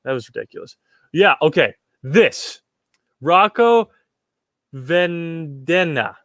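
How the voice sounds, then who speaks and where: A man's voice: a little high, sing-song, slow pace, fairly bright tone, no echo, clean studio sound. A young man from Puerto Rico hosting a casual livestream, reading chat questions and answering them in a rambling way, with repeated words and many ums.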